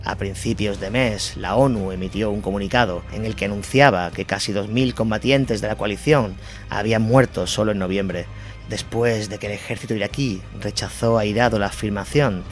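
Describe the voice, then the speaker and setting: A man's voice: on the low side, fairly even intonation, normal speed. A man reading a scripted documentary-style war narration. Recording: music bed under voice. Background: music.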